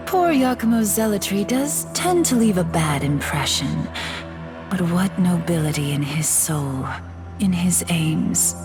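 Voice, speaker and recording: An actress voicing an elegant, scheming fallen goddess in a video game, with a refined, condescending manner. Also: female voice, lowish voice, expressive, slow. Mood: musing. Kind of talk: video game cutscene voice acting. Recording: game audio with music behind.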